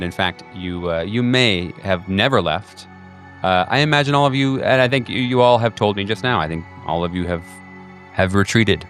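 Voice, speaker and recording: A man narrating as game master of a tabletop horror game, talking in a halting way with many restarts. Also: low voice, fairly bright tone.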